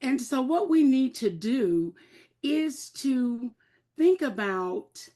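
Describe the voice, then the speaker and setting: Older lady coach, talks real slow and careful. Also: high-pitched, expressive, dark tone. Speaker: life transitions coach. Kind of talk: panel discussion.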